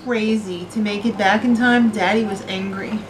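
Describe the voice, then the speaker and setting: A middle-aged woman and mother chatting casually and telling stories in a small home kitchen, animated and giggly, recorded on a handheld camera. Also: mid-range voice, normal speed. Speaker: vlogger, mother. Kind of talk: casual family chat. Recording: noisy home video.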